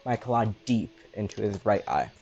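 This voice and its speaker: A man's voice: lowish voice, expressive, normal speed, a little mellow, lo-fi sound. A man talking casually as a player in a tabletop roleplaying game.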